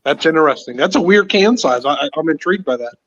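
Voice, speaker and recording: Male panelist, slightly high voice, casual and curious, a little muffled on the recording. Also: animated, quick pace, a bit bright. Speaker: show panelist. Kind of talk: casual chat.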